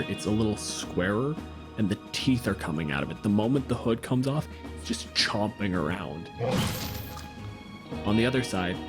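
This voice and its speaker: A man narrating a fantasy tabletop game as improvised dramatic storytelling, in clipped, suspenseful phrases. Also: fairly low pitch. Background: music with guitar.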